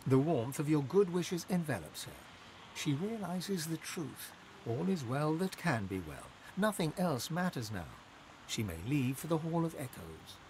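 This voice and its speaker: A man voicing a solemn, soothing narrator of a fantasy video game, gentle in scripted delivery. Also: on the high side, big pitch swings, unhurried. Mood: solemn comfort. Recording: faintly noisy game audio.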